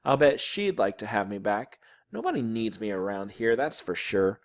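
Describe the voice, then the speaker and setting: A man's voice: on the low side, lively intonation, moderate pace. A man voicing an indignant grade-school older brother in a storybook, plaintive and exasperated. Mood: sulky. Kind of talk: audiobook character voice.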